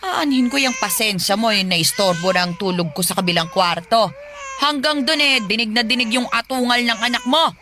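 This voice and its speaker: Woman acting stern, sharp-tongued older mother-in-law. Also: on the high side, normal speed, noisy background, lightly acted. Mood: irritated, scolding. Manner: scolding, sarcastic. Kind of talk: Tagalog radio drama acting. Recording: music behind.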